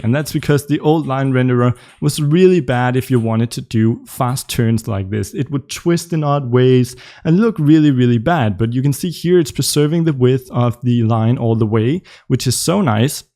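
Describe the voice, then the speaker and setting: A man's voice: medium pitch, dark tone. Casual Danish man coding live. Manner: casual, explaining while coding, frequent ums and uhs. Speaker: tutorial host.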